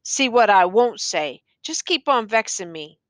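A woman's voice: mid-range voice, lively intonation, unhurried, fairly bright tone, dry recording. A woman voicing a bitter young condemned man in a jail, needling in rural Southern vernacular for an audiobook story. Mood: taunting.

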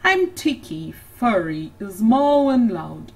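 A woman's voice: mid-range voice, sing-song, slow pace, breathy edge, distant mic, exaggerated voice. A woman voicing a patient father who tells riddles to his daughter. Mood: coaxing.

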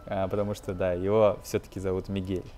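A man's voice: low-pitched, flat delivery, fast, mellow, slight background noise. A man in his twenties giving a casual English lesson over a film, explaining hesitantly and switching into English for examples. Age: 20s. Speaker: English teacher, video host.

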